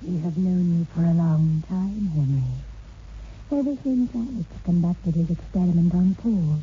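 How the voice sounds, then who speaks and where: A woman playing a mysterious, commanding woman in a 1960s radio horror drama, measured and insistent. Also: fairly low pitch, husky. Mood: calm, knowing. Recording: tinny old radio recording, faint noise.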